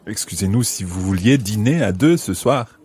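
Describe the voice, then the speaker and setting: A man's voice: lowish voice, lively intonation, normal speed, darkish tone, husky. A man playing a courteous, slightly reserved holidaymaker among new friends in a scripted language-course radio play. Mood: polite, considerate. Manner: polite, apologetic.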